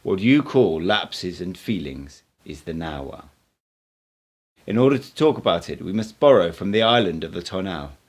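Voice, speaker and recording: A man's voice: deep voice, animated, normal speed. A man voicing a playful, assured, cryptic male mystic teacher in an audiobook, speaking in emphatic declarations. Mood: assured, instructive. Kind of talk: audiobook character dialogue.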